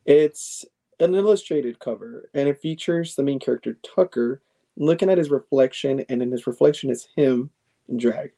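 A young man in his twenties hosts a casual podcast chat, talking in a loose, chatty way. His voice is mellow and relaxed, at an ordinary pitch, and he often circles back and restarts his sentences. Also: normal speed, gravelly. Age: 20s. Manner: chatty, filler-heavy, restarts phrases. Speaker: podcast host.

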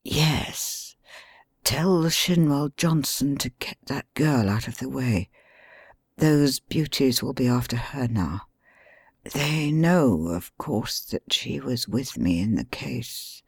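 A man's voice: mid-range voice, lively intonation, slow, gravelly, slightly rough, no echo, heavily acted. Sherlock Holmes, a man whispering as a beaten detective. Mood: weak whisper. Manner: weak muttering, urgent instructions. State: hoarse whisper. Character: injured bandaged male detective, weak but scheming. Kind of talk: audiobook reading.

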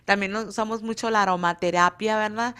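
A woman, a beauty school instructor whose first language is Spanish, talking on a radio interview and often pausing to search for words. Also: mid-range voice, fairly even intonation, a bit bright, smooth. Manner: hesitant, many fillers, searches for words.

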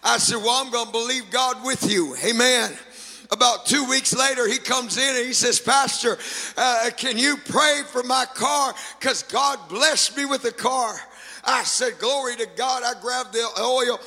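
A man whose first language is Spanish preaches in a high, piercingly bright voice, slow and gravelly, shouting and half-singing his lines. His voice rings through a reverberant church hall with music playing softly underneath. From Latin America. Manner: shouting, sing-song preaching with call-outs to the congregation. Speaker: pastor. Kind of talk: sermon. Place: church sanctuary.

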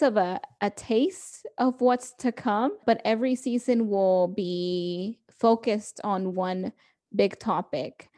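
A woman's voice: fairly high pitch, a little mellow. A young woman co-hosting a podcast, chatting casually and laughing often as she quotes people. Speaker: podcast co-host. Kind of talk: casual chat.

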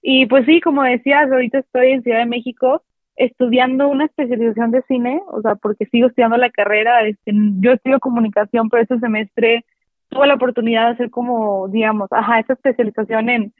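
A woman's voice: fairly high pitch, fast, no echo. Young northern Mexican woman on a tinny call. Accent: northern Mexican Spanish. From Monterrey, Mexico. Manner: relaxed, laughs easily, uses slang fillers. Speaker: podcast guest. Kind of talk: casual interview chat. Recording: tinny phone-like call audio.

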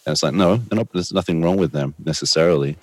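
A man's voice: sits low, fast talker, no room sound. A middle-aged man, a guest, answering in a thoughtful, rambling way with a soothing voice and dramatic bursts. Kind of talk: reflective conversational answers.

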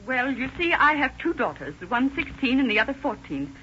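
A woman's voice: sits high, very animated, normal speed, pushed and bright, heavily acted. A woman playing a fretful, self-righteous middle-aged widowed mother in a 1930s radio drama. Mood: prim, reluctant. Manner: prim, wordy, self-righteous. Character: fretful, indignant widowed mother of teenage daughters. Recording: scratchy muffled old-time radio recording.

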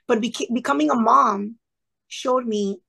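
A woman in her thirties with a fairly high voice and a gravelly edge, talking earnestly as an interview guest. She tells her story in a lively way and acts out the people she quotes. Her speech stays warm and sincere. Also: measured pace. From Cuban family background. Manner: earnest, animated, quoting others in lively asides. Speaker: guest, former classroom teacher. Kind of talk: conversational storytelling.

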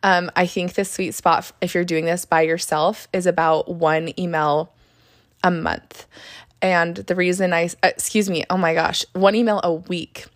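Young woman solo podcaster, a bit hoarse, lower register, casual and upbeat, dry booth sound. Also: steady tone, a little slow, no room sound. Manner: chatty and upbeat, with fillers and self-corrections. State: hoarse, recovering from laryngitis. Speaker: podcast host, marketer.

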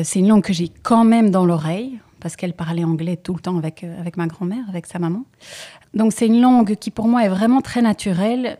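A woman singer being interviewed about her album, who speaks thoughtfully with frequent hesitations and pauses. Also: mid-range voice, warm tone. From Belgium. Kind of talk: relaxed interview conversation.

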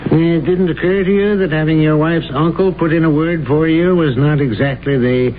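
An actor playing a defense attorney who asks measured, probing questions in a radio drama. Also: male voice, fairly high pitch, fairly even intonation, natural voice. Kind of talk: 1970s radio drama acting. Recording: tinny old radio broadcast with faint hiss.

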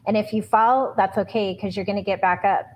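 A woman's voice: medium pitch, fairly even intonation, brisk, slight background noise, rough recording. A woman co-hosting a podcast who talks in an effusive, rambling way, often restarting her sentences. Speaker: podcast co-host. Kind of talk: podcast interview.

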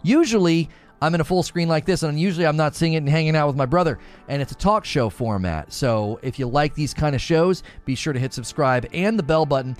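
A man's voice: a little high, lively intonation, fairly quick. Guy streaming and chatting away, tells rambling stories, faint music in the back. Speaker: streamer and show host. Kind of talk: casual livestream chat.

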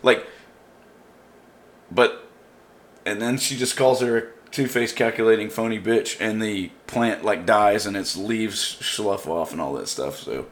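A man's voice: lowish voice, a little slow. A man casually recapping a show with friends, rambling with fillers and restarts. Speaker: show commentator.

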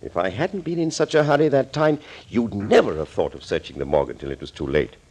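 An actor playing a suave, gloating villain doctor in an old radio thriller, urbane, theatrical and mockingly courteous. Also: male voice, fairly low pitch, big pitch swings, fast talker, a little mellow, husky. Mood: gleeful, boasting. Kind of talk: 1940s radio acting. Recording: old scratchy 1940s radio recording.

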